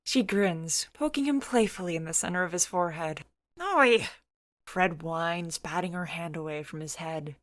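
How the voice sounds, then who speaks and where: A woman's voice: lowish voice, normal speed, few pauses, dry recording. A woman narrating a scripted story in the present tense, as the storyteller between character lines.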